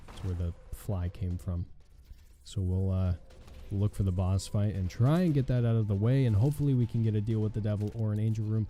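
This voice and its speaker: A young man with a hoarse voice commenting casually on his own gameplay, thinking aloud with a wry tone and muttering as he reads. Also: lowish voice, a little fast, dark tone, a little hiss or hum. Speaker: gaming channel host. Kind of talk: casual let's play commentary.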